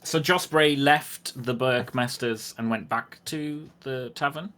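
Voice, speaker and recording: A man's voice: medium pitch, slow pace, fairly bright tone. A man acting as game master, casually refereeing a tabletop roleplaying session.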